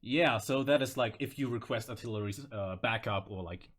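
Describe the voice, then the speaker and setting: A man's voice: medium pitch, moderate pace, a bit bright. A man hosting a casual interview, asking questions and chatting with his guest. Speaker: host, interviewer.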